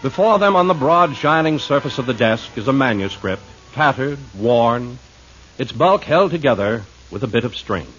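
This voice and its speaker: A man announcing a radio drama in a scripted, formal, theatrical 1950s style, with a husky voice. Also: medium pitch, animated, normal speed, fairly bright tone, faint music behind. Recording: muffled 1950s radio recording.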